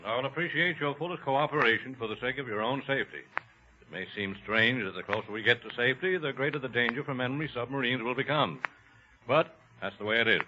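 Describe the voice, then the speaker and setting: An actor playing a dutiful, businesslike ship's captain giving formal instructions in 1940s radio drama style. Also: male voice, medium pitch, quick pace, natural voice. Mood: formal, grave. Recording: muffled old 1940s radio recording.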